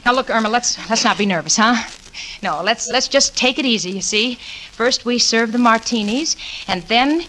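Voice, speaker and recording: A crackly, hissy 1940s radio sitcom recording carries a fairly high-voiced young woman. She is the sensible roommate, wry and exasperated, prone to flustered stammering. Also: expressive, moderate pace, a bit bright. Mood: nervous. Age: 20s. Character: level-headed, exasperated young woman roommate, anxious hostess.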